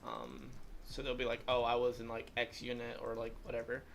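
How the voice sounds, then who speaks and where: A man's voice: mid-range voice, big pitch swings, a little fast, gravelly, a bit bright, slight background noise. An eighteen-year-old man hosting a livestream, chatting casually and rambling, with plenty of "like" fillers. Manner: rambling, heavy use of "like" fillers. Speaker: livestream host. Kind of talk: casual chat while gaming.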